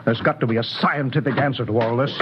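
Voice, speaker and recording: A man's voice: medium pitch, steady tone, quick pace, heavy background noise, natural voice. An actor playing a stern, commanding male spaceship captain who insists on logic, in a 1950s radio drama. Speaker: ship captain. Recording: dull old radio broadcast.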